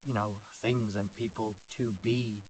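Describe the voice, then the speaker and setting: A man with a Dutch accent and a low voice hosts a podcast, speaking English as his second language. He hesitates and restarts often, and a faint hiss sits under the recording. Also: fairly even intonation, a little slow, a little mellow, poor audio. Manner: hesitant, many fillers and restarts. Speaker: podcast host. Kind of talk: casual podcast conversation.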